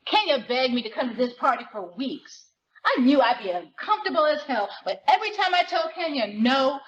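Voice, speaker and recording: A wry, self-conscious teenage girl performed by a woman tells her story in expressive, slangy conversation. Her voice sits on the high side and moves around a lot. It is a dramatic novel reading, captured a little dull and muffled. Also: a little fast. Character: self-conscious, wry sixteen-year-old girl narrating her life.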